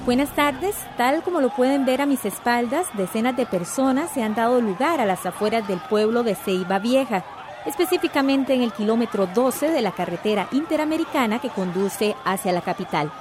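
An actress plays a composed female TV field reporter in a radio drama. Her voice is fairly high and her delivery formal, with clear music behind it. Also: animated, moderate pace, lightly acted. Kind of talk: scripted on-location news report in a radio drama.

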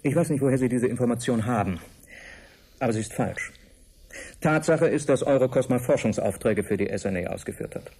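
A man's voice: mid-range voice, expressive, a little fast, mellow, slightly rough. A man acting in a radio drama as an evasive institute director and professor who speaks formally and curtly. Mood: guarded. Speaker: actor.

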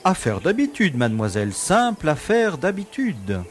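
An actor playing a courteous prison director in an audio drama, with florid, polite phrasing and a matter-of-fact manner. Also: male voice, fairly high pitch, huge pitch swings, moderate pace, faint music behind. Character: courteous, genial prison director, proud of his inmates.

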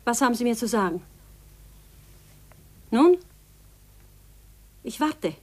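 Imperious, higher-voiced scornful aunt. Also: female voice, lively intonation, slow pace, a little mellow. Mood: cold, sardonic. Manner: imperious, biting, theatrical. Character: proud, scornful, defiant aunt accused of murder. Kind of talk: 1950s German radio play acting. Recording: 1950s radio drama recording.

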